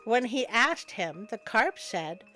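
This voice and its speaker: High-voiced woman reading a wry folktale. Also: slow, soft background music. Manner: expressive, wry. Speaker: storyteller, host. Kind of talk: storybook narration with wry asides.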